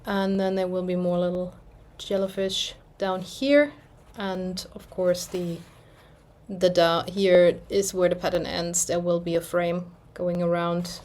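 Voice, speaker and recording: A woman's voice: fairly low pitch, monotone, measured pace, a little mellow. German woman chatting casually about stitching. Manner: rambling, self-deprecating, searches for words. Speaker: cross-stitch vlogger. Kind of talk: casual chat.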